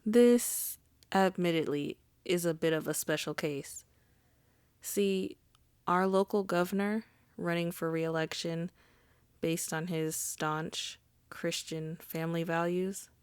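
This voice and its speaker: A woman's voice: lowish voice, steady tone, slow, darkish tone, clean tone, dry recording. A woman playing a wry, charming female mafia boss in an intimate ASMR roleplay, conversational and teasing. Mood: wry, indignant. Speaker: audio roleplay voice actor.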